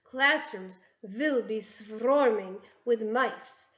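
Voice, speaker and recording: A woman voicing a ruthless chief witch who speaks in clipped, broken grammar and gloats loudly. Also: on the high side, takes it slowly, a little hiss or hum. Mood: gloating. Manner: gloating, triumphant shouting. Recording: roomy, dull lo-fi sound.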